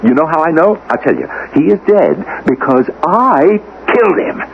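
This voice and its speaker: A man's voice: on the high side, big pitch swings, moderate pace. An actor playing an agitated, irritable American businessman with a guilty secret, stammering and snapping in a 1970s radio drama. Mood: angry, exasperated. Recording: narrow-band, faintly noisy 1970s radio recording.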